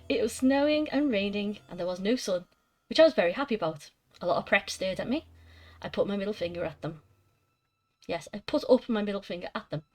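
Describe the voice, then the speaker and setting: A woman's voice: mid-range voice, lively intonation, a little fast, a little mellow, close to an everyday voice. A woman voicing a self-absorbed seventeen-year-old goth vampire girl, reading fan fiction aloud matter-of-factly, with little change of voice.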